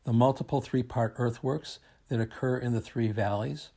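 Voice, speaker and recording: A man reading a scripted academic presentation aloud in a formal, measured and deliberate manner. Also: mid-range voice, steady tone, gravelly, warm tone. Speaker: academic researcher presenting a paper.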